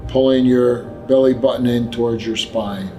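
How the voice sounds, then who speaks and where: A man narrating a guided meditation, giving gentle, unhurried instructions with long pauses. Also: mid-range voice, steady tone. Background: background music.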